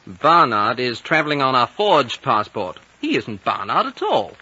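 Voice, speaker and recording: A man's voice: mid-range voice, sing-song, measured pace, bright, forceful tone, slightly rough, exaggerated voice. An actor playing a hot-blooded, eager young man in a 1950s radio drama, blunt and boyish. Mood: insistent, accusing. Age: young adult. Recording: dull 1950 radio recording.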